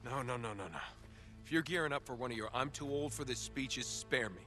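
A man's voice: mid-range voice, brisk, pushed and bright, soft background music, close to an everyday voice. An actor playing a cocky, stubborn treasure hunter in his thirties in a video game cutscene, with an American accent and a casual, wisecracking delivery. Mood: defensive, exasperated.

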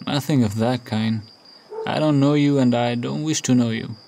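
A man playing a solitary, brooding recluse in a radio drama, speaking formally and bluntly in measured phrases. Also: mid-range voice, fairly even intonation, creaky, warm tone, lightly acted. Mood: blunt, reserved. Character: solitary, brooding man who shuns modern life.

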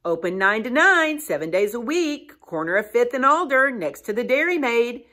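A man's voice: very high-pitched, big pitch swings, normal speed, pushed and bright, pitched above the performer's own voice, heavily acted. A woman voicing a male pet store clerk who answers the phone in a storybook read-aloud. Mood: matter-of-fact.

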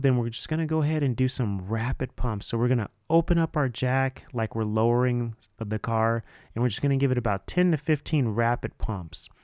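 Smooth lower-voiced man giving a casual tutorial. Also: a little mellow, no echo, studio quality. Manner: informal, unscripted, hands-on explaining. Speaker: presenter of a DIY how-to video. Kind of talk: casual step-by-step tutorial narration.

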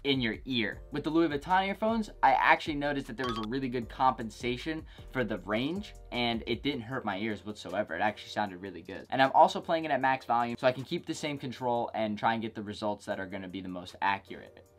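A man's voice: medium pitch, lively intonation, fairly quick, hardly any pauses, a bit bright, soft background music. A young man hosting a casual product review vlog from his bedroom couch, chatty and jokey, full of "like" and "go ahead". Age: young adult. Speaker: tech reviewer, channel host.

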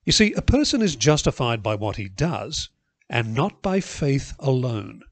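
Male scripture reader, animated intonation, dry close recording. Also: medium pitch, dry recording. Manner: expressive, measured reading. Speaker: Bible reader. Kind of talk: scripture reading.

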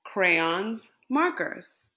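A woman reads a children's picture book aloud at a gentle, unhurried pace. Her voice sits in a middle register but swoops up on each listed item, giving a lively, sing-song read. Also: medium pitch. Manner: slow, lilting and expressive, lifting words in lists. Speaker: storybook reader.

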